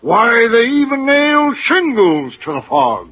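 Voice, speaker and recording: A man's voice: very high voice, sing-song, slow pace, slight background noise, heavily acted. An actor playing a folksy, boastful American frontiersman spinning tall tales in 1940s radio comedy, on a muffled vintage recording. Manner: drawling, exaggerating storyteller.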